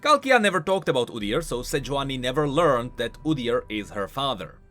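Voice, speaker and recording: A man hosting a video game lore explainer, speaking in an animated, emphatic way. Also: medium pitch, brisk. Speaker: host of a game lore channel. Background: music.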